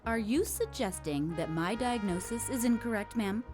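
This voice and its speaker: A woman acts a clinical, condescending lady doctor for an audio drama. The speech is formal and coolly courteous, with animated pitch movement. Faint music plays behind it. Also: moderate pace, lightly acted. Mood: coolly affronted.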